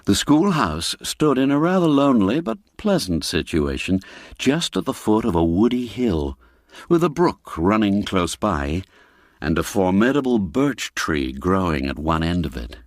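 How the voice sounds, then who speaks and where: A man narrating a classic literary story for radio, reading expressively and deliberately, drawing out key words for emphasis. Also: low voice, very animated, no echo, studio quality.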